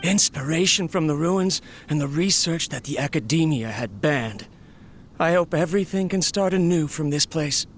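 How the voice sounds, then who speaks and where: A man's voice: on the high side, expressive, moderate pace, close to an everyday voice. A theatrical male inventor from a video game, played by an actor, ambitious and obsessive. He speaks grandly, like a lonely eccentric absorbed in his work. A faint layer of ambient noise sits behind the voice. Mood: visionary. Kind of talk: video game character monologue.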